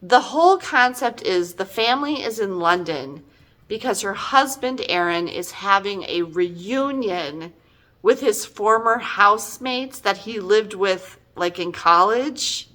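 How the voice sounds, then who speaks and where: A woman's voice: mid-range voice, expressive, measured pace, pushed and bright. A middle-aged woman giving a casual, chatty book review in an exasperated, emphatic, conversational way.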